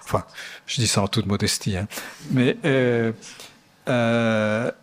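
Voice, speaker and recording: Older man, native Italian speaker, speaking haltingly, a lecturer taking questions. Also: medium pitch. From Switzerland. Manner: hesitant, many fillers and restarts. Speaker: architect giving a lecture. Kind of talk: lecture Q&A answer.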